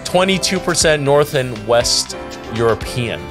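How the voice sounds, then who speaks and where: A man in his thirties hosting a casual podcast from a small home studio on a desk mic, excitable and teasing, often bursting into high laughter. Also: unhurried, over music. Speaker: podcast host. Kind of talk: casual chat.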